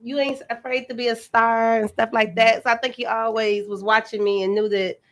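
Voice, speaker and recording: A woman's voice: a little high, little pitch movement, fairly quick. An American woman chatting casually as an interview guest, informal and emphatic. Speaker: guest actress and rapper.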